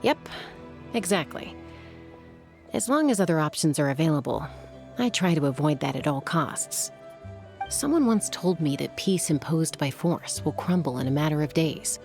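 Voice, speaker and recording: A woman's voice: sits low, expressive, normal speed, a little mellow. An actress playing a cool, confident young woman warrior and peacemaker, wry and composed, in a video game. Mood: reflective, composed. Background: background music.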